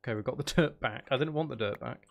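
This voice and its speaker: A man's voice: mid-range voice, fast talker, gravelly. A man giving casual, rambling gameplay commentary, thinking aloud as he plays. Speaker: gaming video creator playing Minecraft.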